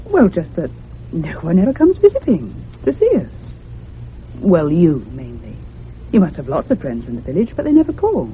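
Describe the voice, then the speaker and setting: An actress playing an anxious, curious woman in a radio drama, speaking in a measured, literary and expressive way. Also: fairly low pitch, a little hiss or hum. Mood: probing. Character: anxious, curious convalescent unmarried woman narrating a haunting. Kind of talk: radio drama acting and narration. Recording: muffled, phone-like old radio sound.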